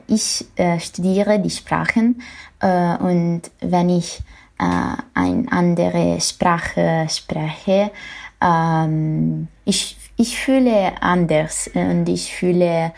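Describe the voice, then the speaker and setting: Italian woman, slow halting voice message, lots of ums, bit of vocal fry, lowish voice. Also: a little mellow. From Italy. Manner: hesitant, many fillers, searching for words. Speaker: listener sending a voice message. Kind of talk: recorded voice message.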